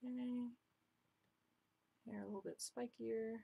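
A young woman casually commenting on her own drawing as she works, chatty and self-deprecating, with quiet muttered asides, recorded at home on a desk mic. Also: a little high, steady tone, measured pace, creaky, husky. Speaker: drawing vlogger. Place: home room, indoors.

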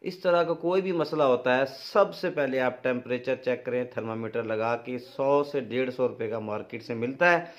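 A man's voice: medium pitch, normal speed, hardly any pauses. A middle-aged man with a Pakistani accent, explaining things in a practical, instructive way as a farming advice presenter. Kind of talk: informal instructional explanation to camera. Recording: dull, lo-fi sound.

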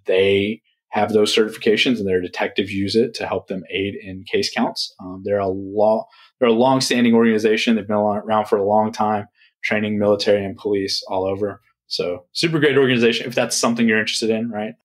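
A man answering interview questions in a relaxed, conversational way, often checking in with "right?". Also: fairly low pitch, fairly quick. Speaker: podcast guest.

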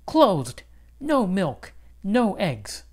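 A man voicing polite but demanding barn cows in a children's storybook, reading out their notes in a formal, measured way. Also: high voice, wildly swooping pitch, darkish tone, pitched above the performer's own voice, full character voice. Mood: blunt, defiant.

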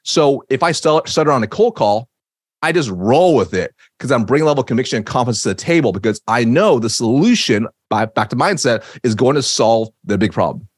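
A man's voice: medium pitch, fairly quick, no room sound. A man in his thirties who stutters at times, teaching sales with energy and emphasis on a video call from a home office, into a studio mic. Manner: energetic, emphatic, gestures while talking. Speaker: sales trainer. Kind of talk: webinar teaching. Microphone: studio mic on boom arm, video call.

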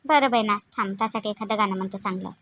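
A woman voicing a dutiful, gentle young wife and mother in a family cartoon, soft and coaxing, with a Varhadi Marathi accent. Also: mid-range voice, fast talker. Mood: tender, coaxing. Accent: Varhadi Marathi of Vidarbha.

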